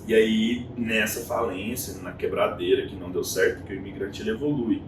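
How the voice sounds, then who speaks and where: A Brazilian man in his thirties tells his story as an interview guest, acting out voices in quotes. He sits back from the mic in a reverberant room. A steady noise runs underneath. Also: fairly low pitch, brisk. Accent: Brazilian Portuguese. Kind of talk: casual interview chat. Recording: echoey room, distant mic, noisy.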